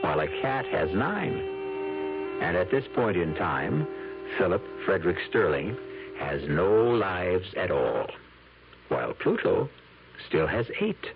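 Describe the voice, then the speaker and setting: An old, phone-thin radio broadcast with music carries an American man hosting a mystery show. His lower voice is wry and theatrical, with lively swings. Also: takes it slowly, narrow, tinny audio. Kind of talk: scripted radio narration.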